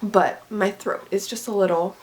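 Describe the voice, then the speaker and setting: A young woman chatting casually about books, a little raspy and scratchy from being sick, rambling with lots of "like" and "um". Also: medium pitch, steady tone, normal speed. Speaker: book vlogger. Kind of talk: casual chat to camera.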